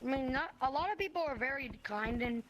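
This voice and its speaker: A woman's voice: sits high, expressive, a little fast, background noise. A young female player answering interview questions casually, hesitant with many fillers. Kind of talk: casual chat.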